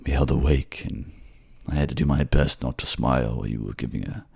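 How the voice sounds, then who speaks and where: Guy doing a grumpy wounded king, super deep croaky voice, halting but loving, fantasy audio drama. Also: a little mellow, full character voice. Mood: fond. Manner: gruff, weary, halting, affectionate. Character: grumpy, battered, tender warrior king.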